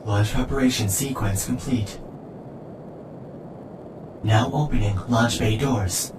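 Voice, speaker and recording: A man voicing an impassive rocket computer in a game fan dub, making formal announcements. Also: slow. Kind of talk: scripted fan dub acting. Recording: echoing, noisy rumble.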